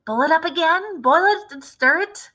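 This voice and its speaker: Lady doing a pushy excited farmer dad, loud and bright. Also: very high voice, moderate pace, voice pushed up, heavily acted. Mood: eager bossy. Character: eager, excitable farmer father.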